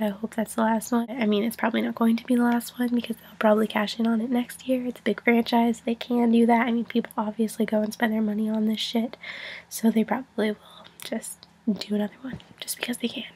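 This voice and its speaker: A woman's voice: on the high side, darkish tone, breathy edge. A young woman with a whiny voice, getting over a cold, rambling through a casual film review into a webcam in her bedroom. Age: young adult. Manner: chatty, rambling, lots of "like" and restarts. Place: small bedroom, indoors. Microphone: webcam at arm's length.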